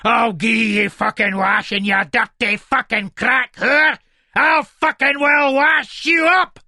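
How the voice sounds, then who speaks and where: A man's voice: high voice, normal speed, fairly bright tone, dry recording, clean studio sound. A man voicing a violent, foul-mouthed Scottish father in a story, barking orders and threats aggressively. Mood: furious.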